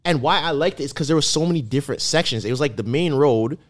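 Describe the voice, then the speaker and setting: A young man co-hosting a podcast, chatting casually and telling stories in slang. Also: fast. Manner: animated storyteller, slangy, swings pitch widely. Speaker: podcast co-host. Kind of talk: casual chat.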